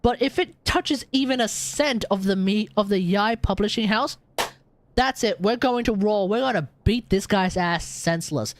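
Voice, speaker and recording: A woman's voice: mid-range voice, moderate pace, slight background noise. A woman doing casual live game commentary as a streamer, slangy and opinionated, reacting aloud.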